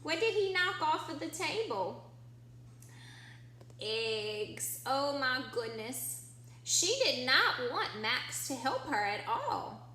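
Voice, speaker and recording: A woman's voice: sits high, big pitch swings, slow, sharp tone. A woman teacher reading a picture book aloud to young children, explaining simply and asking prompting questions. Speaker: early-years teacher. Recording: echoey room with faint background hum.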